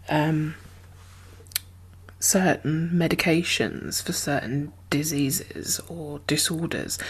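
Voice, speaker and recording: A woman speaking softly and often whispering in an ASMR roleplay, gentle and halting, as a guarded but caring apocalypse survivor. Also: low-pitched. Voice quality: soft, breathy, often whispered. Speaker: ASMR performer playing a role. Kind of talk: soft-spoken ASMR roleplay.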